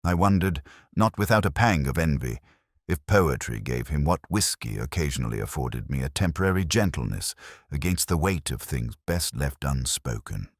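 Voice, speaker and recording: A man narrating a literary detective story as a brooding, world-weary inspector, in a measured, reflective and formal manner. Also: extremely low pitch, expressive, no room sound, studio quality. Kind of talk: literary first-person scripted narration.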